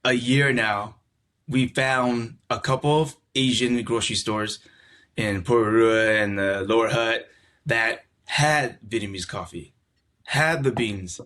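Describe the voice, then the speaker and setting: A middle-aged man from California, a podcast guest talking in a relaxed, reflective way and often repeating words as he searches for them. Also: medium pitch, slow. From California, USA. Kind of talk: casual conversational interview.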